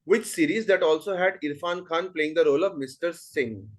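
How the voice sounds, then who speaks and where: A man's voice: on the high side, moderate pace. A man with an Indian English accent hosting an online quiz, reading questions steadily and chatting between answers. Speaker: quizmaster.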